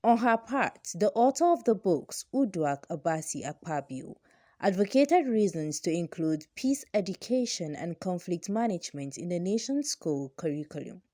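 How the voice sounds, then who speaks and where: A woman's voice: lowish voice, animated, normal speed, dark tone, no room sound, clean studio sound. A woman with a Nigerian accent reading a scripted news report as a reporter.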